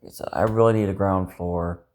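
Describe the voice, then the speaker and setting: A man's voice: low voice, fairly even intonation, brisk, darkish tone. A man rambling in a personal, confessional way, upset and dejected, venting with self-critical frustration and frequent swearing. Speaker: personal vlogger. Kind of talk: rambling confessional talk to camera.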